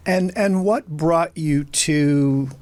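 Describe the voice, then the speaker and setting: A man's voice: on the high side, drawn-out words, a little mellow. A man hosting an interview show, introducing his guest and asking long, meandering questions. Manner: friendly, meandering questions with fillers. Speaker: talk show host.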